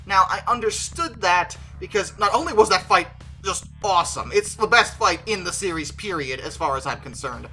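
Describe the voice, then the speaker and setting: Video commentator with a bright, forceful voice at middling pitch, conversational and opinionated, leaning on key words, music underneath. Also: expressive, moderate pace, few pauses, low-quality recording. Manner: emphatic, conversational, stretches stressed words. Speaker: video creator and host. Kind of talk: opinionated movie review commentary.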